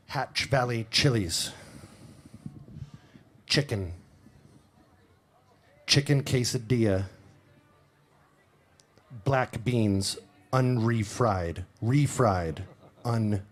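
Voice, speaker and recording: A man's voice: mid-range voice, drawn-out words, pauses often. A man doing a casual, jokey sound check at a mic on an outdoor festival stage. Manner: jokey, rambling. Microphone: stage vocal mic. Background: instruments on stage.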